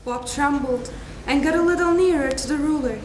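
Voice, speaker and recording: A woman's voice: sits high, normal speed. A young woman giving a dramatic reading of a classic story as its narrator. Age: young adult. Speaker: student narrator. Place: indoor stage event at a university. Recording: echoey hall, faint noise.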